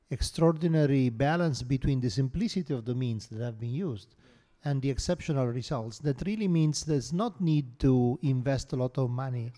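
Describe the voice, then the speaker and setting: A man's voice: medium pitch, dark tone. A man moderating a conference panel, speaking fluently and reflectively. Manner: articulate, reflective. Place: theatre hall.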